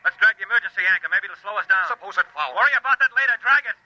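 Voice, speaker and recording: A man's voice: high voice, lively intonation, very fast, no room sound, heavily acted. An actor playing a frantic crewman in a 1950s radio drama, calling out in short, urgent exclamations. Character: frantic crewman battling a deadly windstorm. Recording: muffled old radio recording, loud storm noise.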